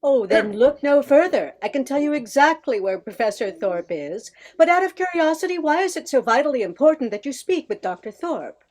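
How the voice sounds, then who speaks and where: Older woman as a poised, high-voiced lady professor. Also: expressive, a little fast, few pauses, a little mellow. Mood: coolly teasing. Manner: composed, dry, pointed. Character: poised young woman professor, coolly teasing. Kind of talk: amateur radio play acting.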